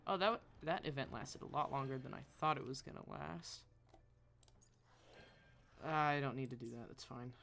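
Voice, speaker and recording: Bright-voiced game streamer thinking aloud. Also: mid-range voice, normal speed, gravelly, long pauses, slight background noise. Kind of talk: casual live gaming stream chat.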